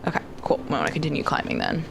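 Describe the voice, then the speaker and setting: A woman's voice: low voice, huge pitch swings, rapid-fire, creaky, noisy background. A woman playing a tabletop role-playing game, chatting in an informal, slangy way with music behind her. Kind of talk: casual chat during a role-playing game. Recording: background music under the voice.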